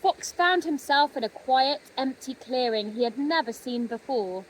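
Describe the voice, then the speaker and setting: A woman narrating a children's story expressively, with gentle dramatic pauses. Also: high voice, a little hiss or hum, rough recording. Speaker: storybook reader.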